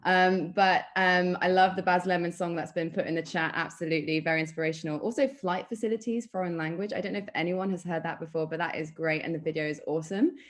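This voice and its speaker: A woman's voice: fairly low pitch, steady tone, fast, hardly any pauses. A woman introducing herself on a panel over a video call, chatty and enthusiastic.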